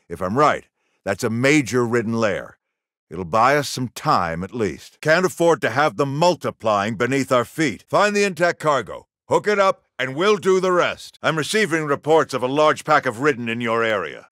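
A stern, middle-aged general for a video game, issuing orders with clipped authority. His pitch moves widely as he briefs his troops, and the recording is close and dry. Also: fairly bright tone, no echo. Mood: urgent. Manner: authoritative, clipped military delivery. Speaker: military commander character in a video game.

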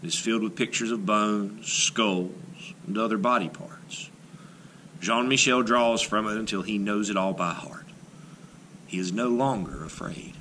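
A man reading a children's picture book aloud expressively, with dramatic phrasing and deliberate pauses. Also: fairly low pitch, pushed and bright, a little hiss or hum, low-quality recording.

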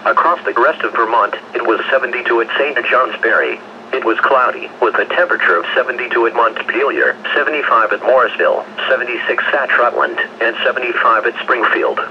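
Muffled, noisy radio man reading forecasts. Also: medium pitch. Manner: synthetic, measured, impersonal delivery. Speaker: weather radio announcer. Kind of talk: scripted weather forecast reading. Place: radio speaker picked up by camera in room. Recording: dull lo-fi weather radio, noisy.

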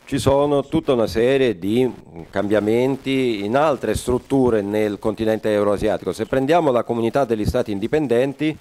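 A man interpreting a forum speech live, halting often with fillers and correcting himself mid-phrase. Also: mid-range voice, dark tone. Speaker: conference interpreter.

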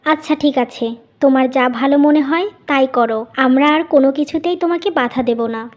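A kind elder sister from a family cartoon, played by a woman. She speaks in a high voice, gentle and patient, with a solicitous manner. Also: fairly quick, close to an everyday voice. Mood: gently concerned.

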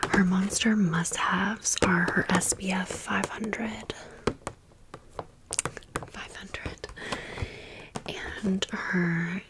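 A woman doing casual, chatty ASMR storytelling in a soft, breathy, unvoiced whisper. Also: mid-range voice, steady tone, very slow, pauses often, very noisy. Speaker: ASMR video creator.

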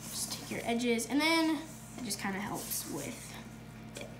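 Young woman, high voice, upbeat and rambling, home kitchen, noisy background. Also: animated, unhurried. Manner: chatty, upbeat, many fillers and self-corrections. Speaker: cooking video host. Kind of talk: casual cooking demonstration. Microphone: phone camera across the counter. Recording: echoey kitchen with noise.

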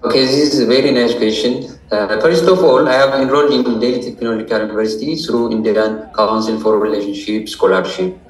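A man's voice: mid-range voice, fairly quick, hardly any pauses. A man in his twenties with an Ethiopian accent, giving careful, formal answers as an interview guest. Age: 20s. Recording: roomy echo, distant microphone.

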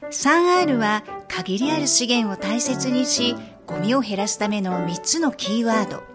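A woman's voice: fairly high pitch, animated, normal speed. A woman giving scripted narration for an educational storybook, with music behind her. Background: background music.

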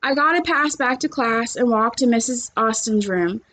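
A woman's voice: on the high side, moderate pace, fairly bright tone, a little hiss or hum, rough recording. A young adult woman, a teacher, reading a story aloud and chatting to children, recorded at home on a computer's microphone. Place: small living room at home, indoors.

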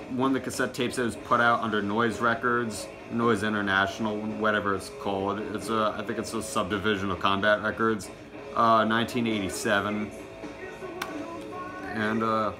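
Low-voiced young man vlogging casually. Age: teens to early twenties. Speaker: music collection vlogger. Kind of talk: casual show-and-tell to camera. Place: small home room.

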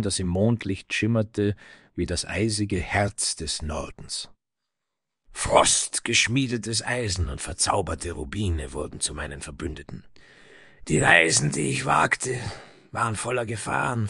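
A man's voice: on the low side, creaky, a bit bright, breathy edge, no echo, studio quality, exaggerated voice. A man playing a proud, vengeful dwarf smith from the icy north, speaking in solemn, grandiloquent phrases in a fantasy audio story.

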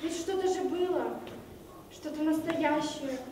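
Teen girl doing a wistful radio host, high voice, echoey hall far off. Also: unhurried, warm tone, slight background noise. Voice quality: emotional, musing monologue. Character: wistful young woman radio host, restless. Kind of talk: school stage play acting. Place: school auditorium stage. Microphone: far camera.

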